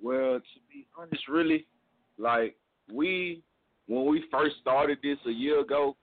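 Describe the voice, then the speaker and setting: A man's voice: medium pitch, measured pace. A man, a music label guest, talking casually and often saying "you know what I'm saying". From Mississippi. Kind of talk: casual phone interview. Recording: tinny phone line.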